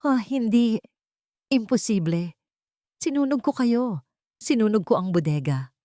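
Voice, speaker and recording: A woman performs a murderous schemer from an audio drama, cornered and desperate. Her voice rises high and shrill as she frantically pleads and denies. The sound is dry and close. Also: expressive, a little slow, warm tone, dry recording. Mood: desperate pleading.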